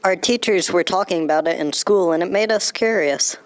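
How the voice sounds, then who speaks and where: A woman voicing an eager, curious childlike puppet with a creaky voice in a children's storytime dialogue. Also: lowish voice, moderate pace, lightly acted.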